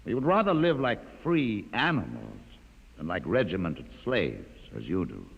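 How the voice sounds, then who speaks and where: An actor playing a calm, educated mutant leader, courteous but menacing, with measured formal speech in a 1950s radio drama. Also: male voice, low-pitched, gravelly, breathy edge, exaggerated voice. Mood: composed, proud. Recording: muffled vintage radio, long reverb.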